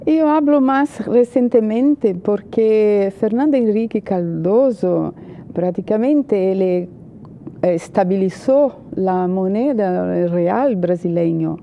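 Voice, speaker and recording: A woman's voice: medium pitch, slow, gravelly, lo-fi sound, a little hiss or hum. A Brazilian woman with a Brazilian Portuguese accent, a journalist and political analyst, answering interview questions in hesitant Spanish full of "eh" fillers.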